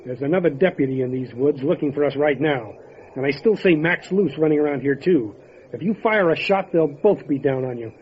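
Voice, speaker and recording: Actor as a higher-voiced, grave mountain sheriff. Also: male voice, animated, a little fast, natural voice. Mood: grave warning. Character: steady, wary male mountain sheriff. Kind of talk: old-time radio horror acting. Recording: muffled, faintly noisy lo-fi radio recording.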